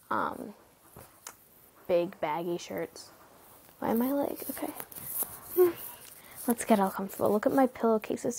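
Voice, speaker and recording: A teenage girl vlogging from her bedroom at night, rambling casually with lots of fillers, often hushed, her nose stuffy from a cold. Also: on the high side, vocal fry, slight background noise. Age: teens. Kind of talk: casual late-night chat to camera. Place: bedroom at night, sitting on bed.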